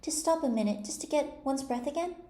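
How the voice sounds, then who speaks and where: Curious, well-mannered storybook girl, voiced by a woman, high and gentle-toned, questioning, in an echoey room. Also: lively intonation, a little fast. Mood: breathless, polite. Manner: polite, questioning. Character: polite, curious, practical young girl. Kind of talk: character voice in storybook reading.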